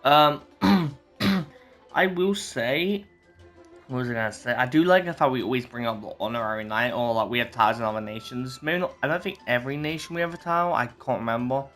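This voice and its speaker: A man's voice: medium pitch, fairly quick, a bit bright. A man giving casual playthrough commentary, musing aloud and reading game dialogue in a rambling way. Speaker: game streamer. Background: faint game music.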